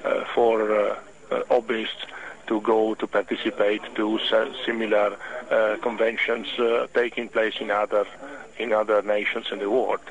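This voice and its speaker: A man with an Italian accent, a native Italian speaker, answering radio interview questions slowly as he searches for words. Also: lowish voice, flat delivery, poor audio. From Italy. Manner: halting, searching for words in a second language. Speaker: hobby club official, interview guest.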